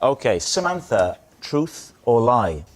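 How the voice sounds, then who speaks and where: A man's voice: medium pitch, very animated, slow. A man hosting a comedy panel show with playful banter. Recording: studio with loud audience noise. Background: audience laughter and crowd noise.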